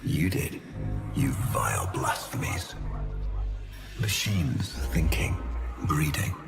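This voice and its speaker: Game villain, male: low, highly animated, menacing nobleman, theatrical and falsely kind. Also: vocal fry, loud music behind the voice, heavily acted. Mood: bitter contempt. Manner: theatrical, drawn-out, mock-kindly. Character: menacing aristocratic male villain, silky, cruelly courteous. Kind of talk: cinematic video game voice acting.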